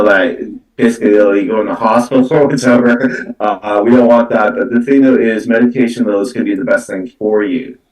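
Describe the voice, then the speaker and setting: A man giving a live spiritual card reading as a psychic medium, speaking in halting, repetitive phrases. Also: lowish voice, darkish tone, recorded from a distance. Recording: roomy, echoey, away from the mic.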